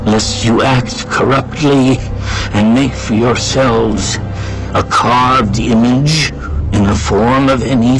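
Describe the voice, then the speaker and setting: A man playing a solemn, aged prophet exhorting his people in a grave, deliberate way, as in a dramatized scripture reading. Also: mid-range voice, creaky, low-quality recording.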